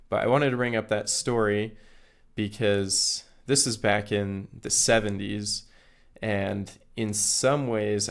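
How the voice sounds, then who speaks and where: A hoarse man, recovering from a recent illness, hosting his own educational podcast and explaining things earnestly with small restarts. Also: fairly low pitch. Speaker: podcast host. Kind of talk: solo educational podcast talk.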